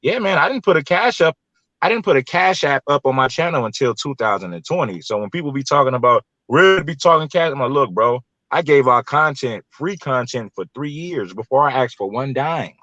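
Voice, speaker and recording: A man's voice: mid-range voice, lively intonation, fairly quick, sharp tone, dry recording, muffled recording. An American man around his late thirties from Los Angeles, talking casually as an interview guest in a rambling, colloquial way, often repeating himself. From Los Angeles, California. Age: 30s or early 40s. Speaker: YouTube content creator, guest.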